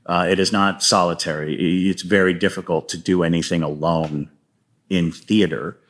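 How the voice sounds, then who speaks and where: A man's voice: low voice, steady tone, moderate pace, fairly bright tone. A man talking casually and at length as an interview guest, a wordy storyteller who explains his creative work. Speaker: guest playwright and podcaster.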